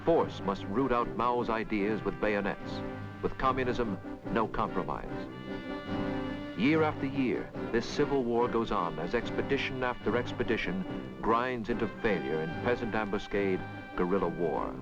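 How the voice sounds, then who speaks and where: Loud music runs behind a man narrating a 1960s documentary. His voice is fairly low and grave, with measured, clipped phrasing, on a dull vintage film soundtrack. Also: expressive. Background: loud musical score.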